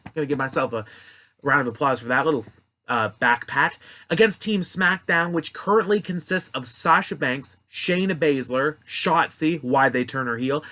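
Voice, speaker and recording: A man's voice: fairly high pitch, fairly bright tone. A young man talking casually and rambling through his predictions, correcting himself as he goes. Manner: rambling, offhand, self-correcting. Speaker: wrestling prediction vlogger. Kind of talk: casual solo commentary.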